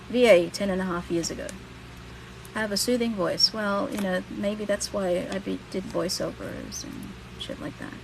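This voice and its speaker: A woman's voice: mid-range voice, sing-song, normal speed, gravelly, noisy background. A woman in her thirties from the Philippines with a Filipino accent, hosting a casual livestream and giving blunt, candid career advice with the odd casual swear. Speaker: livestream host and career coach.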